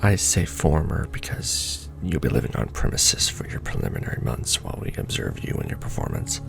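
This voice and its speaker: A man playing a casual, wry secret-society intake clerk in a soft-spoken, half-whispered ASMR roleplay, deadpan and rambling. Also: very deep voice, brisk, vocal fry, full character voice. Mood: dry, casual. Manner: deadpan, rambling, mumbling to himself over paperwork. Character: casual, wry male Illuminati recruitment clerk doing intake paperwork.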